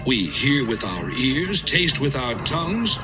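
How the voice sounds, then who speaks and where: A man's voice: mid-range voice, very animated, slow, over music. A man acting an anguished, suffering man who pleads with God in a dramatised Bible audio production, with impassioned, lamenting delivery. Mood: bitter.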